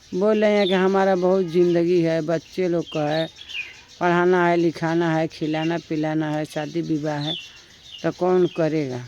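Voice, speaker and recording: High-voiced Bhojpuri-accented man, slow talker. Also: a little hiss or hum. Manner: repetitive, rambling. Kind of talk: casual conversational commentary. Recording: dull lo-fi sound, birds chirping.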